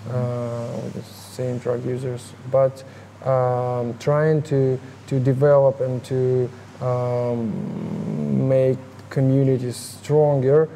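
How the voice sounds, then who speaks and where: A man from Ukraine with a Ukrainian accent, answering interview questions in a halting way with many fillers and restarts. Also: medium pitch, little pitch movement, warm tone, slight background noise, rough recording. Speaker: interviewee, harm reduction advocate.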